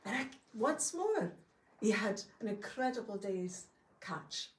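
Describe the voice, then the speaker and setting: A woman's voice: medium pitch, very animated, slow pace. A middle-aged woman with a Scottish accent telling a folk tale to a live audience in a chatty, homely way, with folksy asides. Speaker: storyteller. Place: longhouse hall with a live audience. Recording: echoey room, distant mic.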